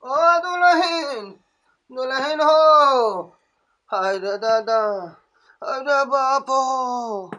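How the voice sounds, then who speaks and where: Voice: sits high, very slow, long pauses, a bit bright, full character voice. A performer doing a wailing old mother-in-law. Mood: moaning. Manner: wailing, moaning, nagging. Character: groaning old mother-in-law, cranky and complaining.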